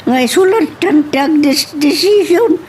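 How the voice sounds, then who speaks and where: A woman's voice: high voice, expressive, slow pace, a little mellow, faint music behind. A woman playing a familiar, talkative office staffer in an Ilocano audio drama, musing as she talks. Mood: musing, advising. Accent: Ilocano Filipino. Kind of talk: amateur audio drama dialogue. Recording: faint background noise.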